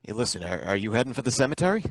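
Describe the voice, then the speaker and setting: An actor playing a suspicious, bumbling license inspector who asks halting, probing questions in a live old-time radio drama. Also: male voice, medium pitch, big pitch swings, dry recording.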